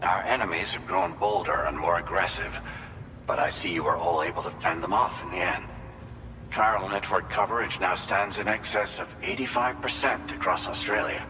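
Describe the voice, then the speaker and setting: A man playing a composed commander in a video game, speaking in a measured, formal and reassuring way. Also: sits low, natural voice. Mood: solemn, encouraging. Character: composed male commander, solemnly encouraging his ally. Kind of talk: scripted game cutscene dialogue. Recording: long reverb, clear background ambience noise.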